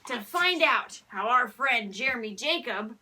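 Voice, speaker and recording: A woman's voice: a little high, lively intonation, unhurried, pushed and bright, a little hiss or hum. A woman hosting a read-aloud show for children, narrating storybooks in a theatrical, playful and exaggerated way.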